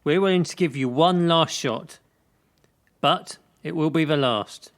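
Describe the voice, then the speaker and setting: Higher-voiced stern male coach, played by an actor. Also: a little slow. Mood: stern, controlling. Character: stern, controlling male gymnastics coach giving an ultimatum. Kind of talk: radio play acting.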